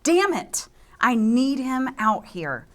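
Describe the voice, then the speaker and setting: A woman's voice: high voice, big pitch swings, slow, heavily acted. An actress playing a hot-tempered, profane, commanding female police chief at a live screenplay table read. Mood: angry, urgent. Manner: curt, commanding.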